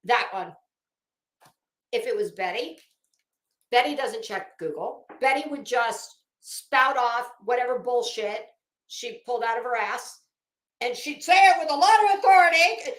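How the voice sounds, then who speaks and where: A woman's voice: fairly high pitch, expressive, measured pace. A woman livestreamer talking casually and reading aloud, with a blunt, sardonic, salty-tongued manner. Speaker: livestream host. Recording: echoey room.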